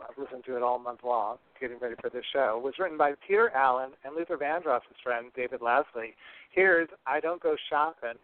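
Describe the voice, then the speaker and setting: A man's voice: a little high, narrow, tinny audio. A man hosting a podcast in an upbeat, scripted presenting style. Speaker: podcast host.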